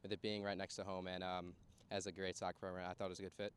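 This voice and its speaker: A young man from New Jersey, pausing with ums, speaking into a handheld mic on an outdoor soccer field. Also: sits low, little pitch movement, slight background noise. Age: young adult. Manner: hesitant, with ums. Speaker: college soccer recruit. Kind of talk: casual on-camera interview.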